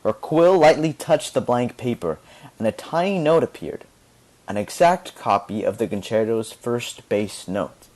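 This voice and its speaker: A man reading a children's story aloud in an animated, dramatic storytelling manner. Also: mid-range voice, unhurried. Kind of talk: expressive read-aloud narration with music.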